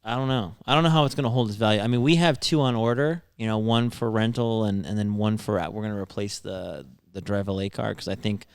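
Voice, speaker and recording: A man co-hosting a podcast in casual chat, talking haltingly with restarts. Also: fairly low pitch, vocal fry, clean tone, dry recording, clean studio sound. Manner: halting, many fillers and restarts. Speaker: podcast co-host.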